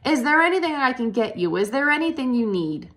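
A woman's voice: high voice, sing-song, brisk, a bit bright, voice pushed up. A woman voicing a friendly, anxious young male pig in a storybook read-aloud, with an eager, pleading manner.

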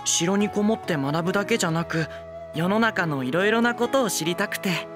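An actor playing a gentle, eager, boyish young lord, soft-spoken, polite and earnest, in an anime drama. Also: male voice, sits high, a little fast, music in the background, close to an everyday voice.

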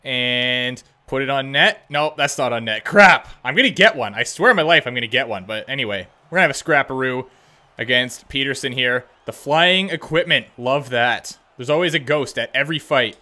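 A man's voice: medium pitch, animated, moderate pace, pushed and bright. A young man giving casual, slangy gameplay commentary on a hockey video game, with playful, self-deprecating asides. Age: young adult. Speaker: gaming video host playing a hockey game. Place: indoors at a home gaming setup. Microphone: close desk microphone, wearing headset.

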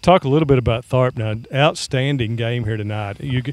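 A man's voice: mid-range voice, brisk, a little mellow. A man, a local sports broadcaster doing a postgame interview outdoors by a river at night, folksy and friendly, calling people "man".

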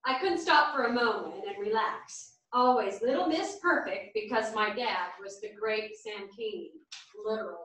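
Woman acting a dutiful bitter perfectionist, higher voice, stage reading, very echoey room. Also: lively intonation, normal speed, fairly bright tone. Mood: hesitant. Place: small theatre stage. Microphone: far camera. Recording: echoey theatre, far from the microphone.

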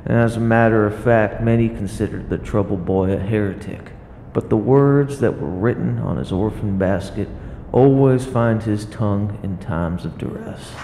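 A man narrating a scripted radio play in a theatrical, deliberate storytelling manner. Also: on the low side, a little mellow, a little hiss or hum. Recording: roomy long reverb, music behind.

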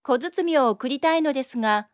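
Brisk high woman reading prompts, dry studio. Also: animated, no echo. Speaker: Japanese prompt narrator. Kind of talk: scripted phrase prompts.

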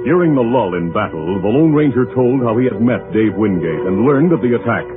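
A man's voice: medium pitch, lively intonation, a little fast. A man narrating a scripted adventure drama in a dramatic 1930s radio style. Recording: tinny old radio recording with music.

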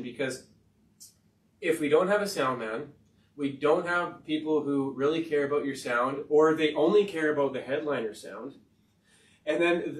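A man with a high-pitched voice, talking in a rambling, profane and emphatic way on a casual podcast. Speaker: podcast host and rapper. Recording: roomy sound from far off the mic.

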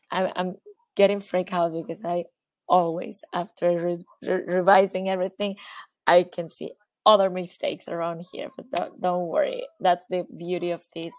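A woman's voice: lowish voice, a little mellow, no echo. A woman from Venezuela whose first language is Spanish, speaking English with a Venezuelan accent as a fitness coach presenting slides, encouraging and often checking in with "okay?". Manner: encouraging, repeats "okay?", self-corrects.

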